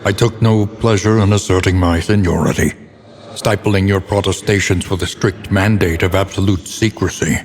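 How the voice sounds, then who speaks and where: Grave old scholar narrating a gothic horror game, really deep voice, solemn n deliberate, big long reverb. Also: male voice, steady tone. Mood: grave, brooding. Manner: solemn, ornate, deliberate diction. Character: grave, erudite older male scholar recounting dark occult past. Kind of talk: dramatic scripted gothic narration.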